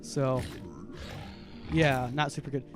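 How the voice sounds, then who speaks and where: A man with a very high voice giving casual, chatty live stream commentary as he plays a video game. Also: slow, loud music behind the voice. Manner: chatty, self-narrating while playing, easily animated.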